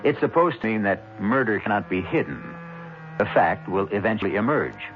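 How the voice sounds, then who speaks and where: A man's voice: fairly low pitch, very animated, moderate pace, slight background noise. A man hosting a radio mystery show with scripted narration, theatrical and sardonic in manner. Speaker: host. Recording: dull lo-fi 1970s radio recording. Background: music.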